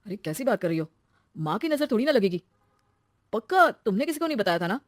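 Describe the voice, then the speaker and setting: A woman's voice: medium pitch, big pitch swings, fast, no room sound, lightly acted. An earnest young husband, played by a woman, with a light voice and blunt, emphatic delivery. He is easily exasperated, in a domestic story recorded dry and close. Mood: exasperated, angry. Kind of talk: acted story dialogue.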